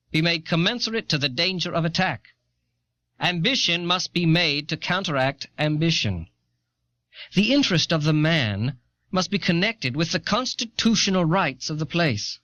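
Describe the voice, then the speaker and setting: A man playing an earnest eighteenth-century American statesman, reading historical writings with formal, deliberate oratory, recorded on an old cassette. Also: on the high side, fairly bright tone, dry recording. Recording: dull, dry old audio cassette.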